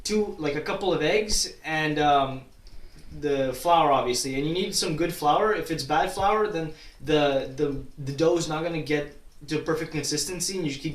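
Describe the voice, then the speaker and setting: A young man from Kazakhstan with a slightly higher voice chats loosely through viewer questions, stumbling and restarting often. He sounds set back from the mic in an echoey room. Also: a bit bright. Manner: rambling, many restarts and fillers. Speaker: YouTuber. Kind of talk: casual Q&A vlog. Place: at his grandmother's town in Kazakhstan. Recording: roomy echo, distant from mic.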